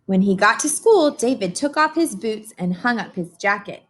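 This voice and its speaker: A woman reading a picture book aloud to young children as a teacher does, pausing to explain and ask simple, encouraging questions. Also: mid-range voice, lively intonation.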